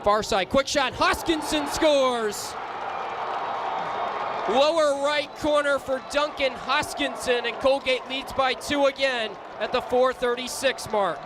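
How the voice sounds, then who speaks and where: A young man doing live play-by-play lacrosse commentary for radio, excitable and ready to shout on big plays. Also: extremely high pitch, slow. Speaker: play-by-play announcer. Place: sports stadium during a live game. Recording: loud crowd noise behind commentary. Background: crowd and arena noise.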